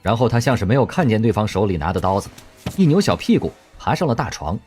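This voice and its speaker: A man narrating an audio drama with expressive storytelling. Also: lowish voice, fast talker. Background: faint music.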